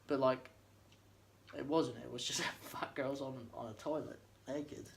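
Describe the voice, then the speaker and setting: A man's voice: medium pitch, fairly even intonation, measured pace, gravelly, a little hiss or hum. A young man, co-hosting a casual podcast, talking in a laid-back, joking way and sometimes searching for words. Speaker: podcast co-host. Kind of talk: casual chat.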